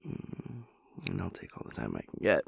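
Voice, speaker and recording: Gravelly, breathy, drowsy boyfriend played by a man. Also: low voice, huge pitch swings, dry recording, heavily acted. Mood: sleepy, playfully reluctant. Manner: halting, mumbling, playfully pompous wordy rambling. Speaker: audio roleplay performer. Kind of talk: intimate audio roleplay, half-whispered comic monologue.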